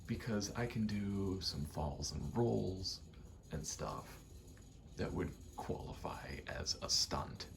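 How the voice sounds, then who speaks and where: A man rambling casually in a soft ASMR style over video game play, often slipping into a whisper and thinking aloud in short, halting pieces. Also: sits low, expressive, vocal fry, a little hiss or hum. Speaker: ASMR creator, gamer; actor and stage combatant.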